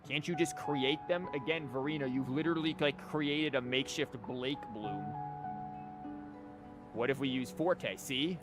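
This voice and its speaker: Male game streamer, mid-pitched, casual rambling chat with music behind him. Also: measured pace. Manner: rambling, conversational.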